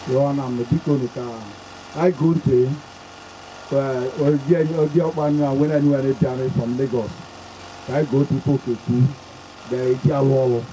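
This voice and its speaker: A man with a Nigerian accent emceeing a community summit, switching between Pidgin and Igbo in a loose, halting way. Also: mid-range voice, warm tone, a little hiss or hum, low-quality recording. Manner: loose, halting announcing with fillers. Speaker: event MC. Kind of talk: public address mixing Pidgin and Igbo.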